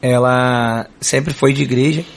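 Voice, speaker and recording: Guy with a rio accent, gravelly creaky voice, chatting away casually as a guest, earnest and informal. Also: mid-range voice, fairly even intonation, slow pace, slight background noise. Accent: Rio de Janeiro. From Niterói, Rio de Janeiro state, Brazil. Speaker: interview guest, samba singer and musician. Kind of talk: casual chat.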